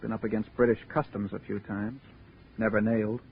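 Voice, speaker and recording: Low-voiced wary undercover investigator, tinny radio. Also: male voice, flat delivery, breathy edge. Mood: guarded, confiding. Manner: confiding, slangy, brisk. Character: seasoned, wary male undercover marine investigator. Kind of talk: 1950s radio drama acting. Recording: tinny, noisy old radio recording.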